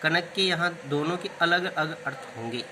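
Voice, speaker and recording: A man's voice: a little high, normal speed, pushed and bright, a little hiss or hum. A man teaching a lesson, explaining word meanings step by step and repeating key terms. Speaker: Hindi literature teacher.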